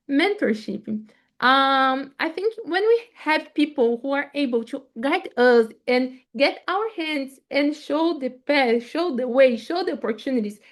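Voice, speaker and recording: A woman whose first language is Portuguese, moderating an online webinar over a video call in an enthusiastic, deliberate way. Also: high voice.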